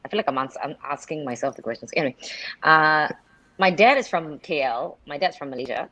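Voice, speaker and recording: Low-voiced chatty woman from Singapore. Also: lively intonation, fairly quick, sharp tone, no echo, rough recording. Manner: chatty storyteller, restarts sentences, laughs often. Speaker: guest, radio DJ and presenter. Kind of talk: casual chat on a live video call. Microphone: video call.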